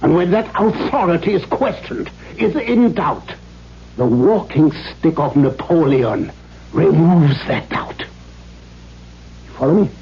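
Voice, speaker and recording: A man's voice: fairly high pitch, expressive, takes it slowly. Menacing, wildly swooping domineering father. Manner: mock-gentle, formal phrasing, erupting into demands. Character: menacing, domineering father toying cruelly with his daughter. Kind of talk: 1970s radio drama acting. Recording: dull, noisy 1970s radio recording.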